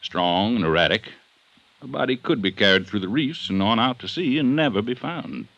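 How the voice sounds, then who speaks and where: A man playing an assertive district attorney in an old-time radio detective drama, speaking with deliberate emphasis. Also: lowish voice, animated, vocal fry, fairly bright tone, lo-fi sound, heavily acted. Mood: matter-of-fact. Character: assertive Southern district attorney building a murder case. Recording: vintage radio drama recording.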